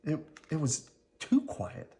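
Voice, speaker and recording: A woman reading a children's storybook aloud in an expressive, dramatic way. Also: takes it slowly. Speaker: storybook reader. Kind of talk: children's read-aloud narration.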